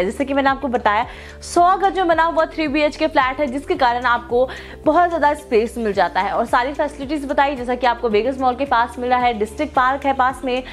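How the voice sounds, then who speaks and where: A young woman with a high, bright-sounding voice leads a property tour, gushing over every detail in an eager sales pitch. She walks through a furnished apartment as she talks, and soft music plays faintly underneath. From India. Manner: enthusiastic, gushing salesy praise. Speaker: real estate tour presenter. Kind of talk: property walkthrough presentation. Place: large furnished indoor apartment.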